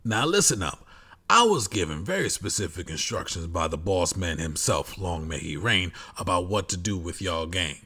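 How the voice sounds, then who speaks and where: A man's voice: sits low, lively intonation, moderate pace, bright, forceful tone, heavily acted. A man voicing a sly, threatening henchman, smug and full of veiled menace. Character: sly, threatening henchman loyal to his boss.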